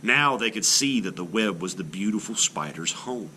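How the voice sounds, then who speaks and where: Lively low-voiced man reading a storybook. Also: moderate pace, slight background noise, rough recording. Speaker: art teacher reading a picture book aloud. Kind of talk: expressive storybook narration for children.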